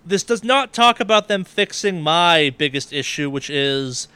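A man with a clean, smooth and fairly high voice, recorded close and dry. He talks casually as a podcast host, with a wry edge and the odd swear. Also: animated, unhurried, sharp tone, no room sound. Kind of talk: casual gaming podcast chat.

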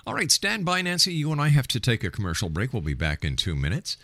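A man's voice: mid-range voice, wildly swooping pitch, fast talker, fairly bright tone, no echo. A man hosting a radio show, interviewing and announcing to listeners.

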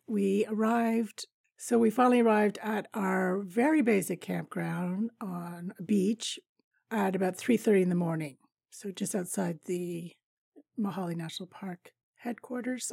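A woman in her fifties with a raspy voice, hosting a podcast and chatting casually through a travel story, laughing often. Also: medium pitch, mellow, dry recording, studio quality. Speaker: podcast host.

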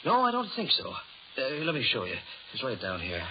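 A man's voice: medium pitch, wildly swooping pitch, normal speed. An actor playing a nervous, deferential rookie policeman, hesitant and earnest, in a 1930s radio drama. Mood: nervous, apologetic. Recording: muffled, noisy 1930s radio recording.

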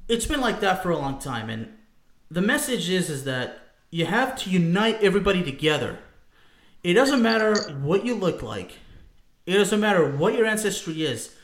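A man's voice: high voice, very animated, normal speed, reverberant, slight background noise. A man from France co-hosting a sports talk show, emphatic and repetitive, calling listeners "folks". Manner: emphatic, repeats himself, addresses listeners as folks. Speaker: podcast co-host.

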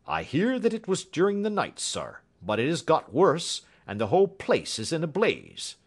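A man's voice: fairly high pitch, huge pitch swings, moderate pace, husky, pitched above the performer's own voice, heavily acted. A man voicing a cheerful, deferential village station master in an audiobook. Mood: obliging. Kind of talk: audiobook character acting.

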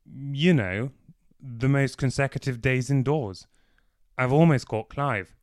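A man voicing a scared, dim young flatmate who whines and stammers. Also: medium pitch, slow, no room sound, clean studio sound, pitched above the performer's own voice, natural voice. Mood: whiny.

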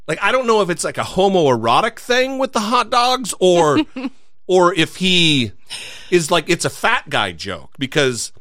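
A man with a lively, up-and-down voice rants with sarcastic exasperation. His tone is bright and forward. He swears freely into a close, dry studio mic. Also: high voice, a little slow, dry recording. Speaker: podcast co-host. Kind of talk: casual podcast chat.

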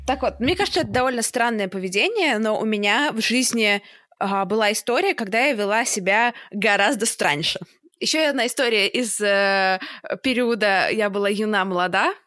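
A young woman podcast host telling funny stories in casual chat, animated and self-ironic. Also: medium pitch, moderate pace, no echo, studio quality.

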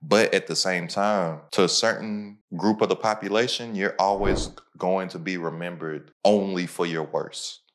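Low-voiced man hosting a podcast. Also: steady tone, a little slow, few pauses. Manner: motivational, talks in repeated punchy phrases. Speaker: podcast host. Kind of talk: casual conversational podcast talk.

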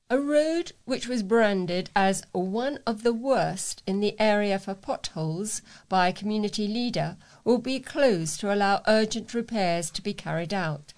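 A woman reads local news and letters in a medium-pitched voice. Her phrasing is measured and deliberate, as a volunteer reader on a talking newspaper. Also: a little mellow.

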